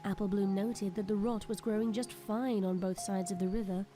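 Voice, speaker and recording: A woman's voice: mid-range voice, steady tone, moderate pace. A woman reading scripted narration for an audio drama. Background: faint background music.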